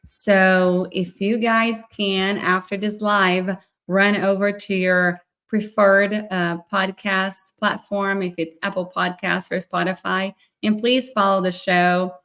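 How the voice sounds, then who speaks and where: A woman's voice: mid-range voice, little pitch movement, takes it slowly, fairly bright tone. A woman hosting a live podcast interview, explaining her field fluently and upbeat. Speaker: podcast host, myofunctional therapist.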